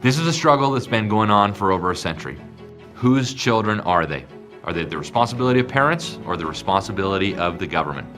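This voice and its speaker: A man's voice: on the low side, expressive, quick pace. A man speaking firmly in a documentary interview, explaining his view. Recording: music bed underneath.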